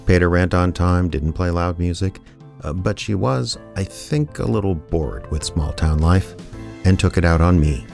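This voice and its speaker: Reluctant small-town gumshoe, low deadpan delivery, radio drama with music underneath. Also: male voice, moderate pace, creaky. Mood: wry, reflective. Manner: deadpan, wry. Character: wry, reluctant small-town amateur private detective narrating his story. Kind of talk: audio drama narration and dialogue.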